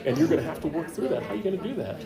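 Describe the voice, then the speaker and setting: A man's voice: fairly high pitch, sing-song, very fast. Chatty man host in an echoey gym. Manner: chatty, rambling questions with fillers. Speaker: local sports show host. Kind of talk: casual sports interview. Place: high school gym. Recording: echoey gym with crowd noise.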